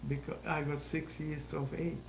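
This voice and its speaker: A man in his nineties from Hungary, speaking in halting, searching sentences as an interview guest. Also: a little high, little pitch movement, creaky. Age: 90s. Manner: halting, searching for words, non-native grammar. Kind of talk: interview answers. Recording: roomy echo with faint hum.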